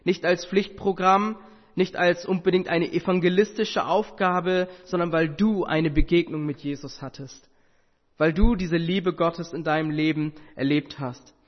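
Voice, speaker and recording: A man's voice: a little high, steady tone, unhurried. A man whose first language is Tamil, preaching an earnest sermon with rhetorical questions and occasional hesitations. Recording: muffled sound with long hall reverb.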